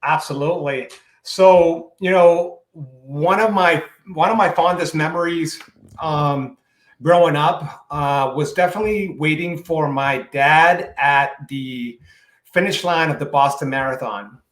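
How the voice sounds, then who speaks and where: A man's voice: fairly high pitch, measured pace, a bit bright. A chatty man in his forties, originally from Puerto Rico with Spanish as his first language, speaking in a slightly raised voice. He answers interview questions in a loose, casual way, full of "you know" asides. The sound comes over a video call from a room at home with a roomy echo. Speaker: podcast guest.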